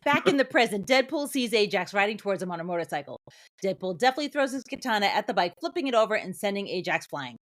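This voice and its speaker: A woman's voice: medium pitch, animated, fast talker, dry recording. Woman podcaster, close dry sound. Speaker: podcast co-host. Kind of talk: casual film review chat with plot recap.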